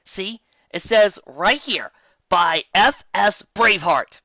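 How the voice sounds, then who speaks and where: An incredulous storybook unicorn mare, played by the narrator, forceful and bright in tone. She speaks emphatically and stumbles when flustered. The recording is very dry and slightly muffled. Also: medium pitch, expressive, slow, dry recording, voice pushed up, full character voice. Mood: annoyed. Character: exasperated, incredulous female unicorn pony, easily agitated. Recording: slightly dull home recording.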